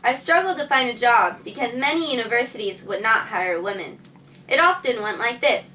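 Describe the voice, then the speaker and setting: A woman's voice: fairly high pitch, animated, normal speed, sharp tone, a little hiss or hum. A woman narrating a scripted first-person history story, reading it plainly and earnestly. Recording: distant mic with faint background music.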